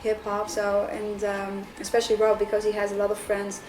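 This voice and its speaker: A woman's voice: mid-range voice, monotone, fairly quick, darkish tone. Woman, Dutch accent, light voice, casual interview chat, distant mic, faint hiss. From Netherlands. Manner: chatty, many fillers and restarts. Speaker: band vocalist. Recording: roomy, far from mic, faint noise.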